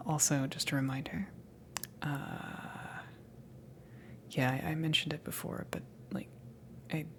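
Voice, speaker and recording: A woman's voice: deep voice, full character voice. Woman playing a whispering, kind, awkward alien crewmate. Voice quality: whispery, breathy, with creak. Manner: hesitant, rambling, reassuring, soft chuckles. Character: casual, kind, slightly awkward female alien salvage crewmember. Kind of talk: ASMR roleplay, improvised-sounding soft talk.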